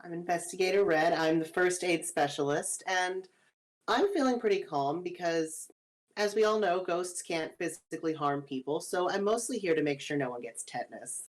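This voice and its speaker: A woman with a low voice introduces her character at a tabletop game. Her delivery is dry and joking. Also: normal speed. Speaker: player, first aid character.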